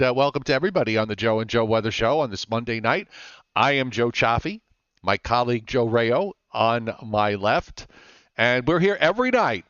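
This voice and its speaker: A man's voice: mid-range voice, a bit bright, clear, resonant tone, dry recording, lo-fi sound. A man hosting a live weather show, chatting casually and teasing his co-host, with frequent fillers.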